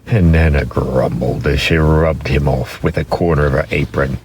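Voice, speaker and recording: A man narrating a children's story dramatically, expressive and lingering on key words for emphasis. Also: rumbling low, normal speed, slight background noise.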